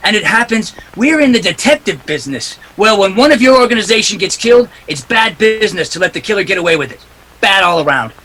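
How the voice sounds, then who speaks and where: A hard-boiled private eye from a 1940s-style radio play, acted by a man. His voice is sharp, forceful and husky, pitched high for a man. He speaks in a tough, clipped, unyielding way. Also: animated, quick pace, full character voice, poor audio. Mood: hard, insistent. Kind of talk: 1940s radio drama acting.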